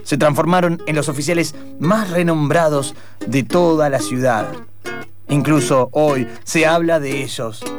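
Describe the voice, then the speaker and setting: A man plays a radio storyteller and sports commentator in an improvised comedy. His fairly high voice repeats phrases for effect, with guitar music behind it. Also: a little slow, natural voice. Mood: storytelling. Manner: repeats phrases, play-by-play delivery. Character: breathless football commentator turned storytelling radio narrator.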